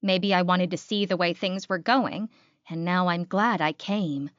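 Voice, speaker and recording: A woman's voice: on the low side, steady tone, a little slow, dry recording, muffled recording, lightly acted. A woman voicing a jealous, scheming female werewolf in first-person audiobook narration. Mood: scheming, resentful.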